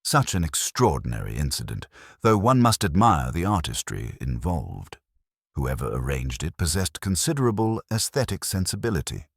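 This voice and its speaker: A man plays a respectable, guarded lady in an audiobook mystery. The voice stays low, with carefully modulated, polite phrasing and varied intonation. The sound is dry and close. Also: very animated, normal speed, breathy edge, no room sound, full character voice. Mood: guarded politeness. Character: genteel, guarded respectable woman in a mystery story.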